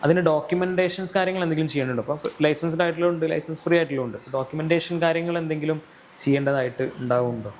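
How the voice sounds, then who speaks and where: A man hosts an interview in a casual way. His voice sits a little higher than average. Some noise can be heard behind him. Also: rapid-fire. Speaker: podcast host. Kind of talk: casual interviewing with questions and short echoes.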